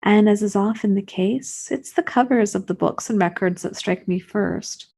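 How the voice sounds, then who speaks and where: Female story reader, dark husky tone, literary first-person narration. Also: medium pitch, moderate pace.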